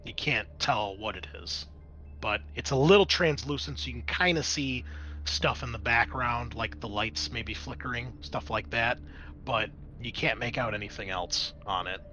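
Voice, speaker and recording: A man's voice: medium pitch, moderate pace, pushed and bright. A man running a tabletop roleplaying game as game master, improvising scene narration and explaining dice rolls.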